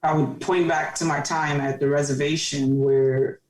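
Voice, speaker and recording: A woman's voice: sits low, steady tone, moderate pace, a little mellow, far from the mic. A woman in her thirties, a podcast guest telling her story in an earnest, reflective way. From Cameroon. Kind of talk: casual conversation. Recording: roomy, off-mic sound.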